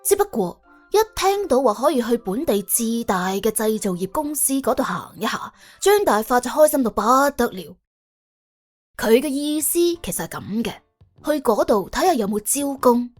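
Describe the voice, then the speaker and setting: A woman narrates a comic crime story in an expressive, conversational storytelling style. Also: on the high side, normal speed, soft background music. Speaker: audiobook narrator and host.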